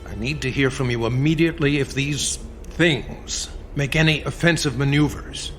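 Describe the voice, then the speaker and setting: A man playing a calm, firm president in a radio drama, speaking in a measured, formal, commanding way. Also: mid-range voice, soft background music, lightly acted.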